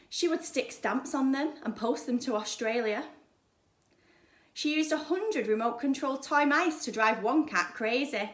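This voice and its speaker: A woman reading a children's story aloud like a primary school teacher, dramatically, with emphatic pauses and hushed drops. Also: a little high. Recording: roomy, echoing sound.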